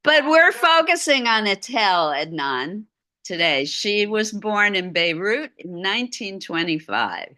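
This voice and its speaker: A woman's voice: medium pitch, very animated, a little slow, pushed and bright. A middle-aged woman giving an art lecture over a video call from a room at home, with chatty asides.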